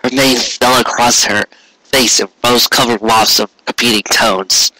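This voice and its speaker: A man's voice: mid-range voice, fairly even intonation, normal speed, sharp tone, no echo, rough recording. A man reading a story aloud as scripted narration, now and then stumbling and correcting himself. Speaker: amateur fan fiction reader.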